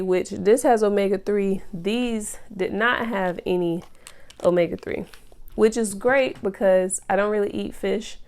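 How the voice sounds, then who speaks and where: A woman's voice: fairly low pitch, a little mellow, clear, resonant tone. A woman vlogging casually about her pregnancy, explaining things step by step in a chatty way, sounding a little nasal from a cold. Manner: chatty, explaining step by step, many ums and you-knows. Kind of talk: casual chat to camera.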